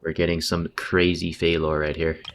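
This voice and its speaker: A man's voice: very deep voice, flat delivery, normal speed. A man giving casual, chatty livestream game commentary, full of slang and swearing. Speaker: game streamer.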